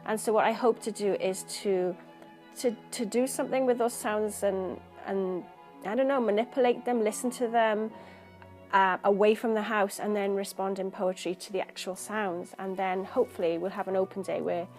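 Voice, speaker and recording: Woman poet, mid-pitched, thoughtful interview talk, earnest and searching. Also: steady tone, moderate pace, a little mellow, faint music behind. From Wales.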